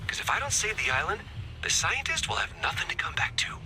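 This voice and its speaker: Wry, animated game resistance agent. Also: male voice, high-pitched, quick pace, vocal fry, background noise, heavily acted. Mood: exasperated, coaxing. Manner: casual, wry, coaxing. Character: wry, harried male agent leading a resistance mission. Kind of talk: scripted video game voice acting.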